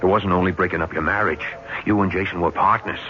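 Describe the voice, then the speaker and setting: An actor plays a bitter, vengeful man scheming a killing. His very low voice pushes with cold insistence. It comes through a dull, muffled old radio recording. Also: fairly even intonation, fast, natural voice. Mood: grim. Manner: intense, coldly insistent. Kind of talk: 1970s radio drama acting.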